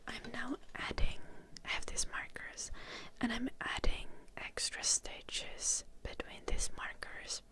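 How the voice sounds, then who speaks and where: Whispering Finnish woman streamer, high voice. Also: flat delivery, slow, slightly rough. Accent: light Finnish-tinged English. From Finland. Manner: soft whisper, reading chat names. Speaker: ASMR livestream host. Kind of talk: whispered ASMR chat.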